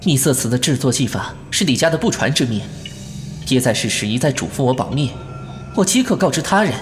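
A woman playing a brash, sharp-tongued young gentleman in a Chinese costume audio drama, theatrical and indignant. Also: very deep voice, animated, fairly quick, faint music behind. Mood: wary.

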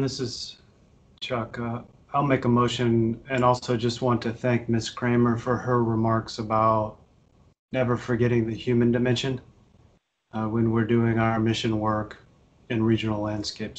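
A board member speaking formally at an online meeting, making a motion and thanking a colleague. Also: male voice, mid-range voice, completely flat delivery, normal speed. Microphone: video call.

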